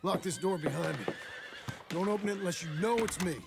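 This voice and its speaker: An actor playing a gruff, stubborn American ex-outlaw turned ranch hand in a video game, terse and plain-spoken, with a gravelly voice. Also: male voice, high-pitched, a little fast, background noise. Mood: determined. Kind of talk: dramatic video game acting.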